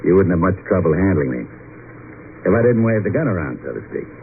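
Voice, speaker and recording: On a thin old radio recording, a sardonic gangster with a lowish voice. He is mock-polite and deliberate, and his pitch swings widely as he needles people. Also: animated. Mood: menacing, sardonic. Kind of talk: old-time radio detective acting. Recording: tinny, narrow-band old radio recording, faint noise.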